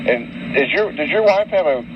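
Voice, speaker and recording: A man's voice: fairly high pitch, expressive, moderate pace. An American man working as an interviewer, asking informal questions in a tentative, stumbling way. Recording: distant, muffled, noisy recording.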